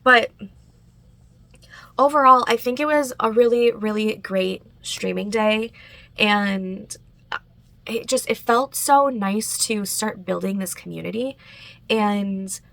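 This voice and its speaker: A woman's voice: medium pitch, takes it slowly, vocal fry, long pauses, a bit bright, no room sound. A woman chatting casually in a writing vlog, a bit congested and nasal, with frequent fillers and little laughs. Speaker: writing vlogger.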